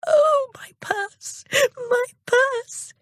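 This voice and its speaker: A woman voicing a flustered, kindly old lady in a children's story, with a high, quavering, fretful voice. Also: huge pitch swings, measured pace, vocal fry, a bit bright, no room sound, studio quality, heavily acted. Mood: relieved.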